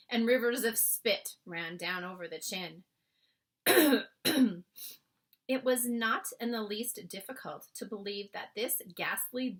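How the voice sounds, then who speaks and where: A woman's voice: mid-range voice, fairly bright tone. A woman reading a children's book aloud as a storyteller, with short asides to listeners. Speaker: storybook reader.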